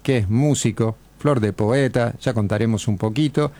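A man's voice: mid-range voice, moderate pace, dark tone. A man with an Argentine accent hosting a radio interview, asking long, winding questions. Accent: Argentine Spanish. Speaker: radio host.

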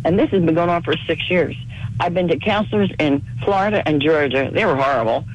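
A woman in her seventies calling in to a radio show, hoarse from a cold, talking fast and rambling from one thing to the next. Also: low voice, muffled recording. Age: 70s. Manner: rapid, rambling and chatty. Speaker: caller. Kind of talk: call-in radio conversation.

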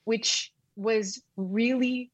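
A woman's voice: fairly high pitch, fairly even intonation, very slow, breathy edge, no room sound. A woman from the United States whose first language was Arabic, a news reporter recalling her youth in a candid, conversational way. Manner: candid, conversational, light laughs. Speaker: news reporter, archived interview guest. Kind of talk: personal recollection in interview. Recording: slightly dull archived clip.

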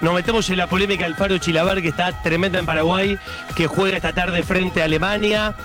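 Male Argentine radio host, high register, joking casual banter over clear music. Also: fairly even intonation, fast talker. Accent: Argentine, Rioplatense. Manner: colloquial, jokey.

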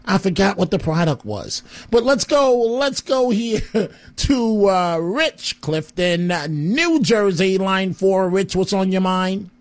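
A man's voice: high-pitched, lively intonation, takes it slowly, hardly any pauses. A man hosting a call-in radio show, friendly and exclamatory, with rambling asides. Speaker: radio talk show host.

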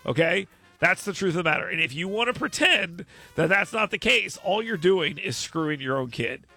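A man's voice: sits high, expressive, normal speed, sharp tone, husky, faint music behind. A man hosting a podcast, joking bluntly in casual chat. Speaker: podcast host.

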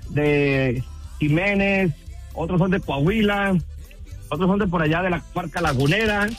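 A man from Durango, a band's singer speaking in a relaxed, rambling and friendly way on a phone-in radio interview. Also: high voice, measured pace, faint music behind. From Durango, Mexico. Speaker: band vocalist, phone guest.

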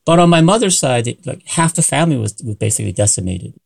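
American man, gravelly creak, thoughtful. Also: mid-range voice, sing-song, fast talker. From United States. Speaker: interviewed history researcher. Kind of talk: reflective interview talk.

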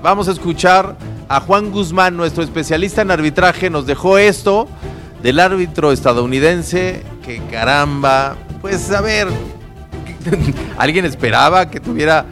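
A man's voice: a little high, unhurried. Emphatic Mexican man over prominent music. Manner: emphatic, repeats himself. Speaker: sports talk show host. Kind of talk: lively unscripted sports panel talk.

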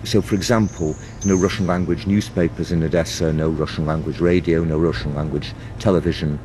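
A man presents a radio documentary in a very low, warm voice. He reads scripted narration and puts questions to people on location, with some background noise. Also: fairly even intonation, a little fast.

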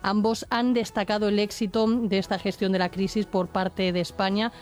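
A woman's voice: mid-range voice, flat delivery, moderate pace, darkish tone. A woman with a Castilian Spanish accent, a correspondent reading the news from Madrid. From Spain. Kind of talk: news report read on air.

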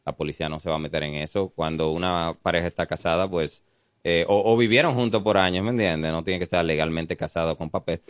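A man with a Dominican accent, a police officer guest explaining the law on a radio show in a calm way with many fillers. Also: sits low, a little fast, no room sound, clean studio sound. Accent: Dominican Spanish. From Dominican Republic. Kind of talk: informative radio interview. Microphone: studio mic.

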